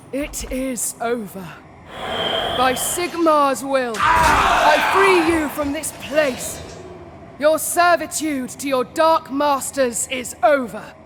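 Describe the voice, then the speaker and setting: A righteous armored warrior woman in a fantasy game. She speaks slowly and solemnly, declaiming with command, in long reverb over faint noise. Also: high voice. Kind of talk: dramatic fantasy game acting.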